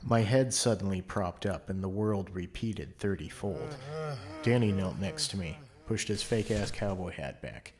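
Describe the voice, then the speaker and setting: A man's voice: low-pitched, a little slow, a crowd in the background. A man giving dramatic, brooding first-person scripted narration as the narrator of an audio play.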